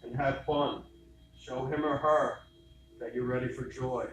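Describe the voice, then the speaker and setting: A man leading a guided meditation, giving slow, soothing instructions and repeating phrases. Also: mid-range voice, fairly even intonation, slight background noise. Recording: roomy and distant with loud music.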